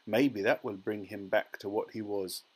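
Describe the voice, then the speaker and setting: An eccentric male chocolate maker from a children's story, as a man reads him. He exclaims excitably, then turns airy and matter-of-fact, untroubled by any mishap. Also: fairly low pitch, normal speed, breathy edge. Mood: unbothered.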